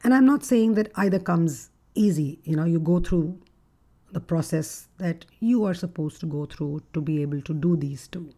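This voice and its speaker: A woman with a deep, gruff voice hosting a reflective solo podcast as a relationship coach, speaking in a measured, thoughtful way. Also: warm tone. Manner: measured, thoughtful delivery with occasional fillers.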